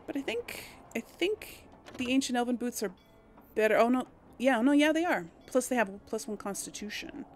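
A woman's voice: on the high side, expressive, normal speed, a little hiss or hum. A woman giving casual let's play commentary, hesitant and musing as she thinks aloud to herself. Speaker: gaming host.

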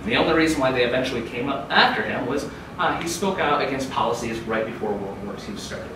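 A man giving a keynote lecture to an audience in a dark conference room, raising his pitch and volume to read quotations aloud. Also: fast. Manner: raises pitch and volume when reading quotes aloud. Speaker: keynote speaker. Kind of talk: lecture with quoted readings. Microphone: far camera across the room. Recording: echoey room from far off, audible noise.

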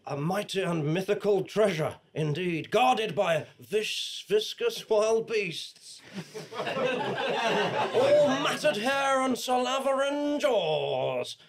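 A high-voiced, mischievous crossroads devil in a staged audio comedy. He is sly and giggly, pushing his over-innocent sales patter while pretending to be an ordinary human. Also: expressive, extremely slow, full character voice. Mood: gleeful, sly. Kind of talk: live audio-drama comedy performance. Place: small theatre stage before an audience. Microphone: stand microphone.

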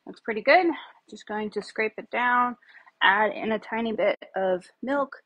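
A woman's voice: fairly high pitch, a little slow, a bit bright, lo-fi sound. A woman in her thirties chatting casually as she cooks in a home kitchen, rambling with lots of fillers, recorded on a phone on the counter. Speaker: home cook vlogger. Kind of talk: casual cooking demo. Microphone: phone camera on the counter.

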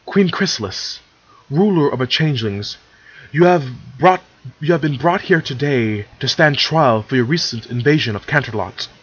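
A regal pony ruler, voiced by a man reading a fantasy story, speaks formally and stays composed. The tone is husky, and the recording is slightly dull. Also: mid-range voice, lively intonation, normal speed, few pauses. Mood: calm, formal. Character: composed, regal pony princess ruler, calm elder sister. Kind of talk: dramatic fan fiction reading.

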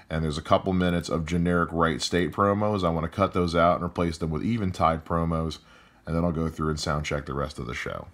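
A man with a loud voice calmly walking through how he edits a podcast episode, step by step. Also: deep voice. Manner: calm step-by-step explaining. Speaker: podcast editor. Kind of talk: explaining a screen-recorded editing walkthrough.

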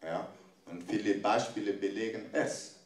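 A middle-aged man speaking at a panel, not a native German speaker, halting with many fillers and restarts, holding a handheld mic. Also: fairly low pitch, recorded from a distance. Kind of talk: panel talk. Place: large echoey conference room. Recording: echoey room, mic held off.

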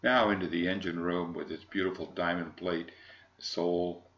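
An American man, middle-aged or older, giving an unscripted sales walkthrough in a halting, measured, formal manner. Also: low-pitched, steady tone, a bit bright, muffled recording. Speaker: yacht broker presenting a boat.

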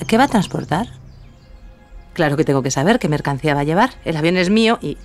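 A woman's voice: low-pitched, expressive, fast, faint music behind. Bold, plucky young woman aviator. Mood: irritated, firm. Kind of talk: audio drama acting.

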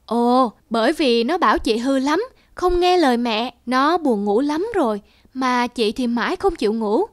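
An actress playing a gentle mother in a cartoon, patient and coaxing. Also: high voice, expressive, unhurried, no room sound, studio quality. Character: gentle mother coaxing her small daughter.

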